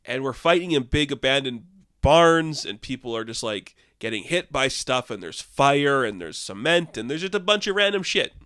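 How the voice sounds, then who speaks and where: Bright, rambling sarcastic young man. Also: mid-range voice, animated, normal speed, no room sound, clean studio sound. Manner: rambling, sarcastic, exasperated, frequent "like" fillers. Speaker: film reviewer. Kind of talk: casual film review monologue.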